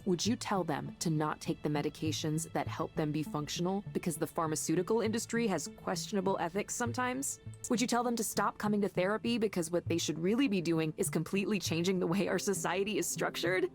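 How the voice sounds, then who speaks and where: A woman's voice: fairly low pitch, fairly quick, few pauses, close to an everyday voice. A woman acting an earnest, idealistic tech worker in a visual novel game, speaking in a conversational, impassioned way. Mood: impassioned, pleading. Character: earnest, idealistic woman defending her mental-health tech work. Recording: music behind the voice.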